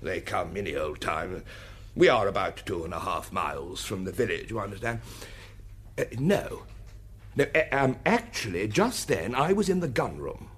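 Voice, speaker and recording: An actor playing a blustering, evasive English aristocrat in a radio drama, with a clipped upper-class accent and a hesitant, old-fashioned manner. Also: male voice, fairly low pitch, big pitch swings, normal speed, breathy edge.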